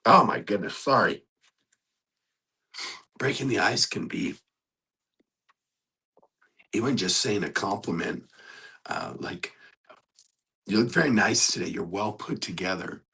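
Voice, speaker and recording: A hoarse man hosting a motivational coaching talk, speaking in an earnest, exhorting and conversational way. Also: lowish voice, animated, unhurried, long pauses, pushed and bright. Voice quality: gravelly, husky. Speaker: host.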